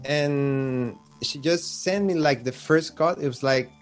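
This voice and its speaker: A man's voice: medium pitch, slow, faint music behind. Hesitant Venezuelan man, Spanish accent. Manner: hesitant, searching for words with many restarts. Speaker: film composer. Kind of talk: podcast interview.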